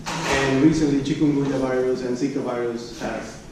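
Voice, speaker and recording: A man presenting research at an academic conference, speaking haltingly and often repeating and restarting his phrases. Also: medium pitch, steady tone, music in the background. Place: conference room. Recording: echoey room, distant mic, background noise.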